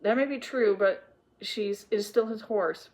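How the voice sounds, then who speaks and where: Storybook dad: principled, firm, kindly man with measured authority, read by a woman. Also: medium pitch, natural voice. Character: firm, fair-minded, stern but kindly father.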